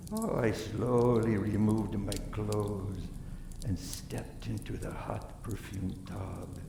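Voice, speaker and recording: In a noisy room with plenty of echo, an actor plays an amorous Frenchman for a radio play. His voice is low and creaky, and he draws out his florid lines very slowly, theatrically swooning. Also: heavily acted. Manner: florid, lingering, swooning delivery. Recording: echoey, distant, noisy room.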